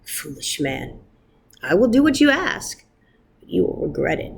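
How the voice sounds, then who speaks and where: A woman voicing a stern, wise male wonder rabbi in a storybook, speaking in a grave, admonishing, deliberate manner. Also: low-pitched, big pitch swings, gravelly, slightly rough, exaggerated voice.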